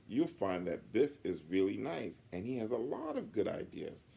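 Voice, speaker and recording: A man's voice: fairly low pitch, big pitch swings, moderate pace, vocal fry, mellow, husky, full character voice. A man voicing a motherly, encouraging female owl schoolteacher in a storybook. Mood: reassuring. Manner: kindly, reassuring.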